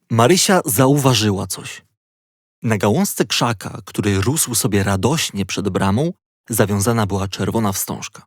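A man reading a children's audiobook as a playful, expressive storyteller. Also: mid-range voice, no room sound, studio quality. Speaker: audiobook narrator. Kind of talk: scripted children's story narration.

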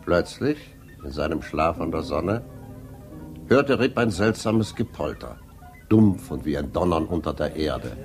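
An actor playing a storyteller who tells an old fairy tale in a radio drama, measured and dramatic. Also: male voice, very deep voice, lively intonation, over music, full character voice. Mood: storytelling.

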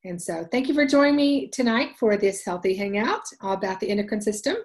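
A woman's voice: medium pitch, animated, a little fast. A woman in her forties hosting a wellness livestream from home, telling a personal story earnestly with many ums and restarts, speaking into a webcam. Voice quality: loud voice. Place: home room, indoors. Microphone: webcam at desk.

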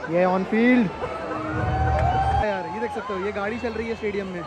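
An excitable man in his twenties chatting casually to his phone as a vlogger, mixing English words into his speech. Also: high-pitched, rapid-fire. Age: 20s. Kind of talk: casual vlog chat, live match reactions. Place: in the stands of a large open-air cricket stadium. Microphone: phone at arm's length. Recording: loud stadium crowd and music behind.

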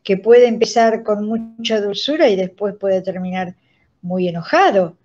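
A woman's voice: medium pitch, unhurried, darkish tone. An older woman with an Argentine accent, explaining things in conversation as an interview guest. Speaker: radio drama director, guest. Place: indoor room, on a video call. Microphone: video call device microphone. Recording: dull, lo-fi call audio.

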